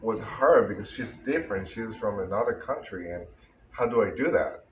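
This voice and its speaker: A man from the Dominican Republic with a Spanish accent, chatting in English, a second language he speaks haltingly as he searches for words. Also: on the low side, animated. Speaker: vlogger. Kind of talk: casual chat. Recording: echoey room, faint noise.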